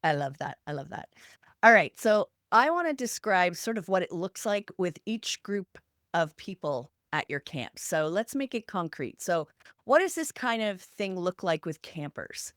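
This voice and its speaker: A woman's voice: on the low side, sing-song, unhurried, fairly bright tone, dry recording, clean studio sound. A woman hosting a podcast, talking through practical examples in an earnest, instructional way. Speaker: podcast host. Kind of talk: structured explanatory talk to listeners.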